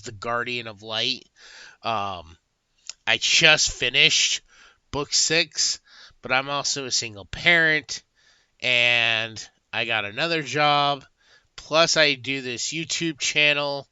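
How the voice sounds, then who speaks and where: A man's voice: mid-range voice, pushed and bright, clean tone, no room sound, lo-fi sound. A young adult man giving a casual, rambling book review, full of fillers and restarts.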